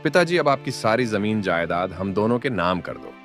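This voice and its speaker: A greedy, impatient grown son played by a man in a cartoon tale. His pitch moves in lively swings over faint music. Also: normal speed. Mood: demanding. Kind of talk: Hindi cartoon moral story dialogue.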